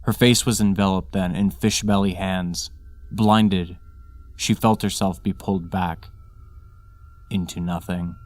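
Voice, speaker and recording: A man's voice: low-pitched, fairly even intonation, unhurried, a little mellow, faint music behind. A man reading scripted material as the narrator and host of a fiction podcast.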